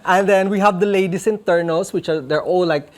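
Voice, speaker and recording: A man's voice: sits high, brisk. Chatty Filipino man with a Visayan accent. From Philippines. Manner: chatty and animated, many fillers like "sort of" and "you know". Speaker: fashion designer guest. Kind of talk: studio interview. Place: TV studio.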